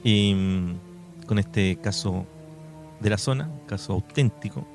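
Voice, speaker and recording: A man's voice: fairly low pitch, takes it slowly. A Chilean man co-hosting a paranormal radio show in a conversational studio style. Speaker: radio co-host. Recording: music bed under studio talk. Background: music.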